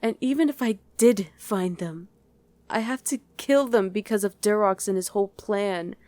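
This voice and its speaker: A woman voicing a guarded demon who was once a human mother, in an intimate ASMR roleplay with halting, emotional delivery. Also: medium pitch, darkish tone, dry recording, lightly acted. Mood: bitter, breaking down. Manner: halting, emotional, speaks directly to one listener.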